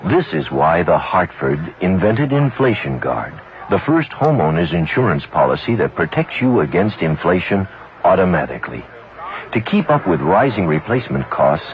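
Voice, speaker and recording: A man with a very low, expressive voice reads a radio insurance commercial in a smooth, persuasive way. The sound is dull and lo-fi, like an old broadcast. A faint hiss runs underneath. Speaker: commercial announcer. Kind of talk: scripted radio ad voice-over.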